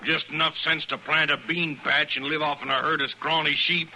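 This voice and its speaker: A man's voice: a little high, level tone, moderate pace, sharp tone. An actor playing a belligerent, bullying cattleman father with a rural Western American accent in an old-time radio western. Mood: stubborn, scornful. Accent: rural American West. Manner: blustering, threatening, folksy. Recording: noisy old radio recording.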